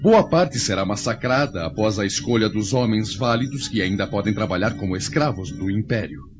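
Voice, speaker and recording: A man's voice: on the low side, lively intonation, moderate pace, lightly acted. A man acting as a ruthless young Roman prince and commander, haughty and declamatory, in a radio drama. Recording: muffled recording with music behind.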